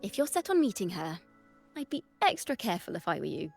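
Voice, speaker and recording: A worldly woman informant from a video game. She speaks in a medium-pitched voice, passing on rumours like a conspiratorial storyteller and urging caution. Music plays faintly behind her. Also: sing-song, a little fast, creaky. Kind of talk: video game dialogue acting.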